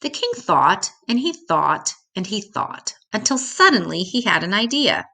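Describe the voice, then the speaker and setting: An older woman reading a children's picture book aloud in a deliberate, expressive way, drawing out key words for young listeners. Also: mid-range voice, gravelly.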